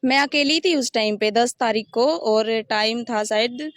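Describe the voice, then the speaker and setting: A woman's voice: on the high side, measured pace, no room sound, clean studio sound. A woman giving a detailed, step-by-step personal account in an interview. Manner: rambling, detailed step-by-step recounting. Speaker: interviewee recounting her complaint.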